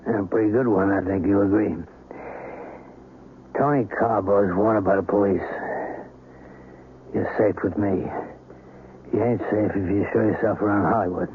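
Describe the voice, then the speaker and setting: An actor playing a cagey, calculating racketeer with a clipped, streetwise way of talking, in old-time radio crime drama. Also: male voice, lowish voice, steady tone, normal speed, pauses often, close to an everyday voice. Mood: cagey, probing. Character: smooth, calculating male blackmailer and restaurant owner. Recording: muffled, hissy old radio recording.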